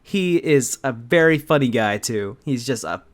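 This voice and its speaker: A co-host riffing casually on a dramatic reading in a sarcastic, mock-defensive way, with halting repetitions. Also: mid-range voice, animated.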